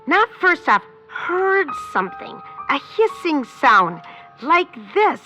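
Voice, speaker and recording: A dozy, unflappable older farm spinster in a radio comedy. Her high voice swings up and down as she wanders off the point. Music plays behind her. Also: big pitch swings, slow, pushed and bright, heavily acted. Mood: rambling, folksy. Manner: meandering, easily sidetracked. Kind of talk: comedic radio drama acting.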